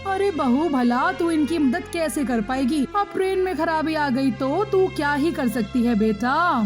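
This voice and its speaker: Woman doing homely chatty saas in a cartoon family story, high voice, music under it. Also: expressive, unhurried. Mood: worried. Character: chatty, homely middle-aged village mother-in-law. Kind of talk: animated moral story voice acting.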